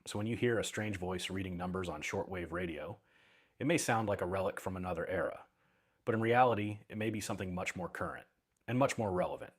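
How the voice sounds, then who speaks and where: Low, husky male explainer narrator. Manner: measured, deliberate phrasing in short emphatic clauses. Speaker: narrator of an intelligence and radio technology explainer.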